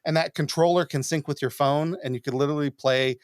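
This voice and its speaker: A man's voice: a little high, fairly even intonation, fast talker, dry recording, clean studio sound. A middle-aged American man chatting casually as a podcast host, rambling and conversational. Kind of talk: casual chat. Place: small room at home, indoors. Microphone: close studio mic on arm, headset.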